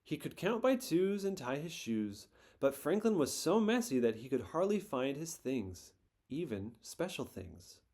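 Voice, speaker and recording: A man reading a children's picture book aloud in a gentle, expressive storytelling manner. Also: on the high side, a little slow.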